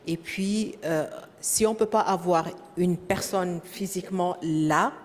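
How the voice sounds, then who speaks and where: A woman with a French-speaking accent giving a conference presentation, often pausing with fillers and repeating words as she searches for the next phrase. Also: fairly low pitch. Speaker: presenter at a professional development session. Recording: echoey hall.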